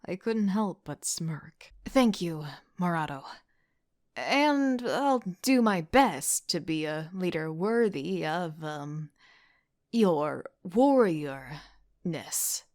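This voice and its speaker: Woman acting a slow, introspective young leader. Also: on the low side, lively intonation, a little mellow, no echo, studio quality. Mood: warm, awkward. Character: reflective, dry-witted young female team leader. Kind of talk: first-person audio drama narration.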